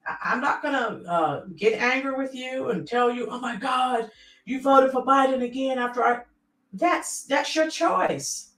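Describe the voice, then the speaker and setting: A woman's voice: high-pitched, a little slow, fairly bright tone, reverberant, distant mic, low-quality recording. A middle-aged woman hosting a casual livestream, reading out viewer comments and rambling with many fillers.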